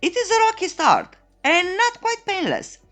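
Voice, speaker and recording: A woman narrates a comedic recap with extremely animated pitch that leaps up and dips down constantly. Her delivery is playful and theatrical, like scripted storytelling with a tongue-in-cheek edge. Music plays behind her voice. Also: moderate pace. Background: background music.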